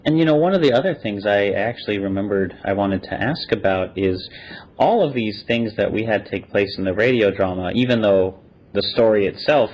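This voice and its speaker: A man's voice: fairly low pitch, moderate pace. A man hosting a podcast, putting questions to guests in a casual, unscripted way. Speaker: podcast host asking questions. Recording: dull, band-limited audio.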